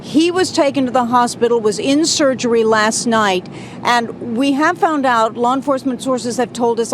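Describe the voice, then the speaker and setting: A woman reports live for TV news in a higher-pitched voice. She is outdoors, with clear traffic rumble and street noise behind her.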